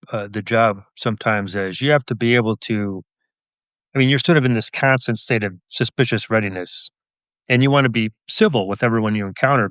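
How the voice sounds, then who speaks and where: A man's voice: fairly low pitch, a bit bright, no room sound. A middle-aged man answering as an interview guest in a casual, wry, understated way. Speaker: guest, crime novelist and police officer.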